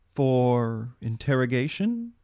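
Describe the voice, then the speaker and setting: An actor playing a dutiful, deferential government agent in an audio drama, reporting in a formal, businesslike way. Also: male voice, mid-range voice, very animated, slow pace, very noisy. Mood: dutiful, concerned.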